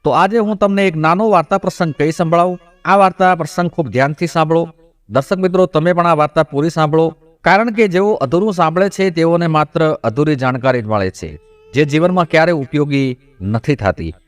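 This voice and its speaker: A man narrates a devotional moral story in a measured, expository way, addressing his viewers directly. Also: a little high, dry recording. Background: background music.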